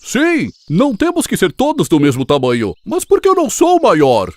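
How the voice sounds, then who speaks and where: A little ox played by an actor whines with very swingy pitch in a close, dry recording. He sounds self-doubting and sorry for himself, in children's cartoon style. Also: huge pitch swings, moderate pace, no room sound. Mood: plaintive. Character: small, unhappy, complaining young ox. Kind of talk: children's cartoon fable voice acting.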